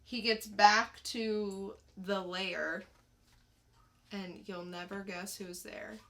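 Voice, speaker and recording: A woman's voice: medium pitch, fairly even intonation, drawn-out words, fairly bright tone, a little hiss or hum. A woman co-hosting a podcast, giving a casual recap in a plain-spoken, informal way. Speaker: podcast co-host reviewing comics. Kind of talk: casual plot recap and review.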